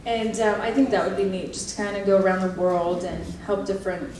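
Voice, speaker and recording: A woman's voice: mid-range voice, steady tone, fairly quick, distant mic, a little hiss or hum. A young woman on a convention panel answering fan questions, earnest and chatty, laughing along. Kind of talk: casual convention Q&A. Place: large convention hall. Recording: very echoey hall with a loud crowd, from afar.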